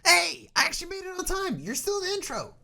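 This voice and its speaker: A performer voicing an excitable, teasing cartoonish sidekick who exclaims in an audio story. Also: extremely high pitch, fast, creaky, voice pushed up, full character voice. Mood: excited, teasing.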